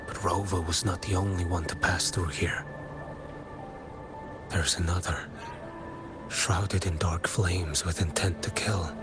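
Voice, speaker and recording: Deep-voiced, brooding blind investigator. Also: male voice, steady tone, over loud music. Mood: brooding, watchful. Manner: slow, measured, cryptic, grave. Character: stoic blind male agent, a solemn investigator of hidden things. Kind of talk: scripted video game acting.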